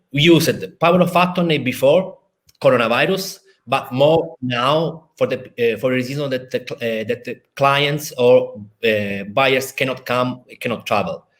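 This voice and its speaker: A man with an Italian accent, a lawyer explaining a legal procedure over a video call, halting with many fillers and restarts. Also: medium pitch. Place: in a city in Italy, at home or office.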